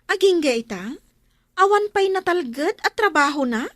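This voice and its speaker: Very high, blunt young Ilocano woman , acted. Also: lively intonation, moderate pace, a bit bright, no echo. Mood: probing. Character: blunt, scolding, boastful young married woman friend. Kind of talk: Ilocano radio drama acting.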